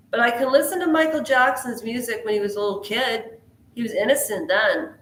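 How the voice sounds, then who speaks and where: A woman's voice: mid-range voice, a bit bright, slight background noise. A woman vlogging pop culture commentary in a rambling, casual way, jumping between tangents and firing questions at her viewers. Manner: chatty, jumps between tangents, quizzes the viewer. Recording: echoey room.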